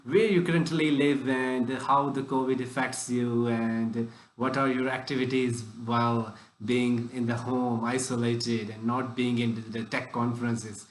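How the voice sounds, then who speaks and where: A man's voice: mid-range voice, level tone, a little slow, few pauses, reverberant, poor audio. A man hosting a tech podcast who asks loose, rambling questions with broken phrasing and frequent restarts. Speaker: podcast host. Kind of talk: casual interview questions.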